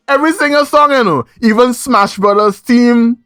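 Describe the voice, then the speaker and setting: A man's voice: very high voice, unhurried, fairly bright tone, clear, resonant tone, no echo, studio quality, faint music behind. A man with a Trinidadian accent hosting a podcast in casual review chat, speaking emphatically and repeating phrases for effect. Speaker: podcast host.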